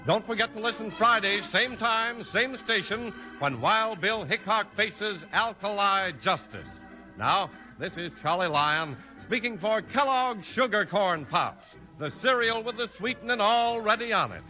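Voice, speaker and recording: A man in the role of a 1950s radio announcer. His voice is high and very animated, with polished, emphatic sales delivery. Music plays clearly behind him. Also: a little slow, low-quality recording.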